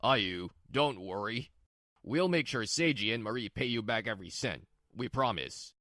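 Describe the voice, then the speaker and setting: A woman's voice: rumbling low, lively intonation, moderate pace, fairly bright tone, dry recording, clean studio sound, pitched below the performer's own voice. A woman voicing a stern older father-in-law who scolds firmly in a manga story dub. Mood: reassuring. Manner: scolding, firm.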